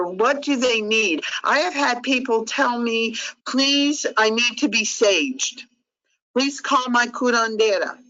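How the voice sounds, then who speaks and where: A woman whose first language is Portuguese leads a training session for advocates, speaking at an ordinary pitch for a woman. She talks earnestly and conversationally, often pausing to check in with her listeners. The recording sounds muffled and lo-fi. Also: fairly bright tone. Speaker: trainer for sexual assault advocates. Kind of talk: training lecture. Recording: dull lo-fi recording.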